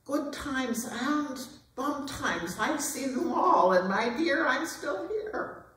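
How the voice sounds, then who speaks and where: A woman acting a very slow, defiant burlesque has-been. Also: high-pitched, expressive, fairly bright tone, full character voice. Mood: defiant, wistful. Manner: dramatic, deliberate, defiant delivery. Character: resilient, wry, aging has-been burlesque star. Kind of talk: theatrical recitation of song lyrics, readers theatre. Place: performance hall at an arts center. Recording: very echoey hall, distant mic.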